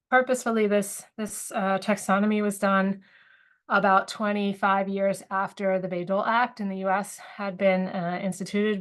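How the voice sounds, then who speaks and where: A woman with a cold giving a webinar lecture, a little sniffly, thoughtful, with frequent fillers and restarts. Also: mid-range voice. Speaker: academic presenter. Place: university.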